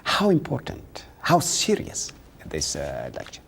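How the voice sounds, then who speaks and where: A man's voice: low voice, wildly swooping pitch, creaky, slight background noise. A man hosting a television panel discussion, praising a guest's point and then asking a hesitant question. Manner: slow, hesitant, many fillers.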